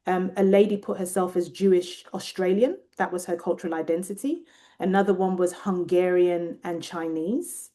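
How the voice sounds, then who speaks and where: A British Nigerian woman with a British accent, a researcher talking thoughtfully in an interview and often pausing to find her words. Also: fairly low pitch, mellow. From Nigeria and Britain. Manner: thoughtful, searching for words, many restarts. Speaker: guest researcher. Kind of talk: reflective interview conversation.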